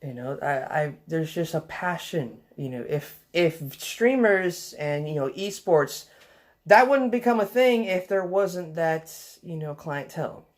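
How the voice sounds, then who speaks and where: A young man with a soft voice, vlogging in a casual, rambling way, full of fillers and restarts. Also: a little high, animated, a little slow. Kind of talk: casual rambling vlog talk.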